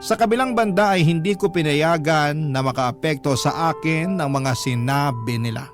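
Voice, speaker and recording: A man's voice: on the high side, normal speed. A man narrating a first-person love story as a radio storyteller, in a dramatic scripted style. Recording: prominent music bed behind narration.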